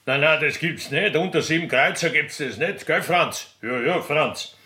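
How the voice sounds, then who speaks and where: A man voicing a simple, excitable young peasant lad with an Austrian accent, exclaiming and repeating himself in a comic radio play. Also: on the high side, unhurried, pitched above the performer's own voice. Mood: haggling. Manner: dim, repetitive, exclaiming.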